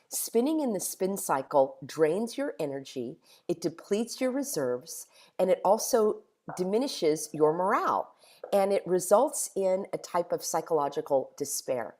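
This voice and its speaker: A middle-aged woman hosting a motivational monologue in a hoarse voice, stringing together emphatic rhetorical questions. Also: fairly low pitch, a little mellow. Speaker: host.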